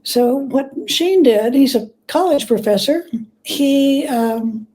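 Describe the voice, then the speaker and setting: A woman with a soft-edged, mellow voice set a little high speaks as an interview guest. She tells stories from history in a conversational, measured way, pausing to find the next piece. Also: rough recording. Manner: measured, pauses to gather thoughts. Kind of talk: conversational storytelling.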